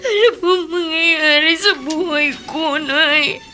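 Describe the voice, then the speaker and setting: A woman's voice: high-pitched, slow, bright, forceful tone, faint music behind. An actress in a radio drama playing a young woman who is crying to her mother. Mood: sobbing. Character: young woman, grieving daughter.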